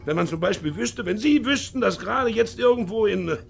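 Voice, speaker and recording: An actor playing a hard, brooding ageing ship's captain in a 1950s radio drama, shifting between muttering and barking. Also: male voice, high voice, expressive, fairly quick, vocal fry, slightly rough, full character voice. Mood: bitter, self-justifying. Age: older. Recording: 1950s radio recording, faint music.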